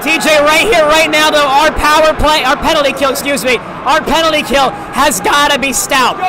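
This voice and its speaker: Brief: male color commentator, very high pitch, insistent, arena crowd roaring behind. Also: steady tone, moderate pace, rough recording. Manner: emphatic, repeats his points. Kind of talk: excited hockey color commentary.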